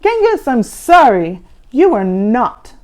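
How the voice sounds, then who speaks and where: Woman voicing a stern, slow-talking monster schoolmaster. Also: a little high, sing-song, darkish tone, voice pushed up. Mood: exacting, instructive. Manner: slow, scolding, instructive delivery. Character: stern male monster teacher, schoolmasterly and exacting.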